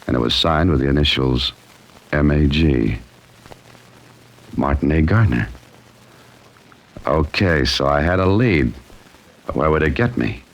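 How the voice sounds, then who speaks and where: An actor playing a wry, cool-headed amateur sleuth, with dry, clipped delivery in the style of an old-time radio mystery. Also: male voice, very deep voice, lively intonation, unhurried, pauses often, exaggerated voice, low-quality recording. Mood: intent, puzzling. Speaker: narrator and lead character. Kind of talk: old-time radio mystery acting, hardboiled first-person narration.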